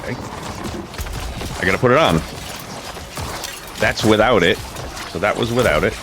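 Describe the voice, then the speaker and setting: A man with a low-pitched voice giving casual, rambling gameplay commentary, often restarting and correcting himself. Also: normal speed, noisy background. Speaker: gaming video creator. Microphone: home streaming mic with crackle.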